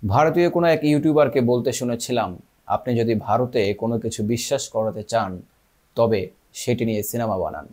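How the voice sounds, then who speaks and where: A man presents a film analysis in scripted narration. His voice sits at an ordinary middle pitch as he addresses his viewers. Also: moderate pace, a little mellow.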